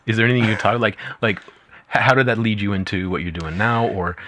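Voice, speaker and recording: A man's voice: lowish voice, fast, sharp tone, dry recording. A man hosting a podcast interview, asking friendly, rambling questions full of fillers. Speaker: podcast host. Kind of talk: casual interview chat.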